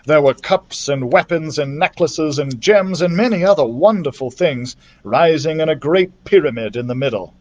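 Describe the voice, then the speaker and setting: A man reading a children's adventure story aloud as a library storytime reader, with careful, dramatic phrasing. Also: on the high side. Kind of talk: expressive scripted storybook narration.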